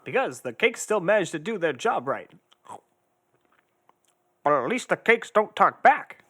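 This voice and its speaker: Man playing a high, pompous, blustering hero detective. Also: big pitch swings, unhurried, full character voice. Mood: haughty. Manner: pompous, theatrical, loud. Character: vain, pompous, hot-tempered hard-drinking male detective adventurer. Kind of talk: old radio adventure parody acting.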